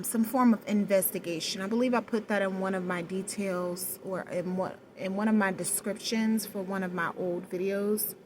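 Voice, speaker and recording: A woman with a low voice talking straight to the camera in a personal vlog, hesitant and searching for words, with many pauses and restarts. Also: a little hiss or hum. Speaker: vlogger. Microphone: no external mic, camera mic.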